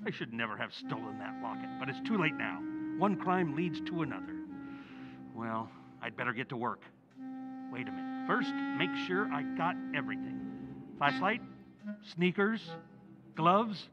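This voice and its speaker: Casting: male actor as bumbling middle-aged American dad for vintage radio comedy, high voice swooping anxiously, flustered pleading, slightly dull recording. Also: very animated, moderate pace, loud music behind the voice. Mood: furtive, gloomy. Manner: flustered, pleading, colloquial working-class talk. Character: bumbling, guilt-ridden middle-aged American husband and father. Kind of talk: old-time radio comedy acting.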